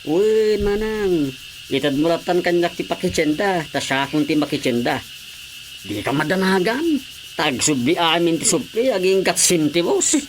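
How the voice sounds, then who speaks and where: A man's voice: a little high, animated, normal speed, noisy background, natural voice. A man acting as a cheeky, eager, teasing visiting cousin in a comedy radio drama.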